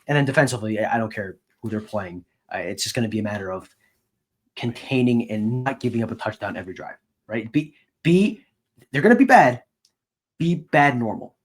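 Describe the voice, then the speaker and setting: Man casually talking sports, medium pitch. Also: slightly rough. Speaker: sports podcast co-host and beat reporter.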